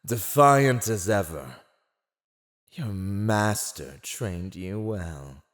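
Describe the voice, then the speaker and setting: A low, theatrical fantasy villain, who swoops widely in pitch as he taunts a captive. He is silver-tongued and condescending, drawing out his teasing words at an unhurried pace in the manner of an ASMR roleplay. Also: animated, fairly bright tone, heavily acted. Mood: mocking, gleeful. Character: silver-tongued male fantasy villain, gloating, mocking captor. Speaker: voice actor.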